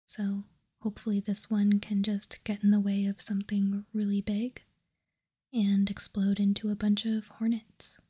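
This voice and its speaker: A woman whispering softly and breathily in an ASMR style, close to the microphone, reading out and commenting in a careful, deliberate way. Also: mid-range voice, monotone, slightly rough. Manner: slow, soft, deliberate delivery with careful pauses. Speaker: host of a trading card ASMR channel.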